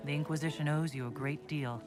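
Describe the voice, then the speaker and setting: An actress playing a calm, gracious leader in a fantasy game. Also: female voice, sits low, brisk, lightly acted. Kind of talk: dramatic game dialogue. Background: music.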